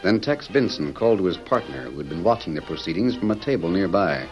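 A dramatic American storyteller narrates a western serial in a low voice. His delivery is formal, in old radio style. The sound is dulled, with faint music underneath. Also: lively intonation, fast. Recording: muffled vintage radio recording.

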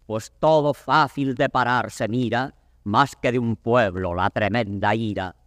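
A man's voice: mid-range voice, unhurried, a bit bright, dry recording, studio quality, natural voice. An actor playing a stern, embattled old king, declaiming classical Spanish verse in a solemn manner. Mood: grave, foreboding.

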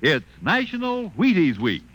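Very animated, high-voiced American pitchman. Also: a little slow. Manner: punchy, emphatic. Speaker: sponsor pitchman. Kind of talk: upbeat 1950s radio advertising.

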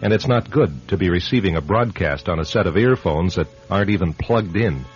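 An actor playing an ominous narrator who announces a suspense radio drama. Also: male voice, deep voice, lively intonation, a little fast, faint music behind. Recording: dull old radio broadcast.

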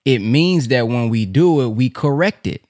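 A man's voice: medium pitch, lively intonation, normal speed, a little mellow, dry recording, studio quality. A man hosting a podcast, casually following up on his guest's point. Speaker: podcast host. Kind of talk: casual discussion.

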